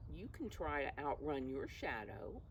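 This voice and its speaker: A woman reading a picture book to preschoolers outdoors, pausing to explain and question in simple teacherly language. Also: deep voice, big pitch swings. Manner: simple teacherly phrasing, questions to young listeners. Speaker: storyteller for preschoolers. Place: outdoors in a backyard. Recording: clear outdoor background noise.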